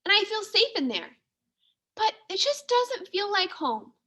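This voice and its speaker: Woman acting timid little male mouse, storybook character, high bright voice, earnest, expressive. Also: a little slow, exaggerated voice. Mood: wistful. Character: timid, thoughtful male library mouse who craves coziness.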